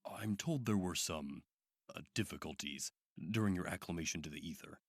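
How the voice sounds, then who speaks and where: A probing therapist doctor in a horror audio play. His voice is low and rough-edged. He speaks politely, with small hesitations, as he questions. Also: moderate pace. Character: probing, polite male doctor at a sinister institute. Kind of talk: horror audio drama acting.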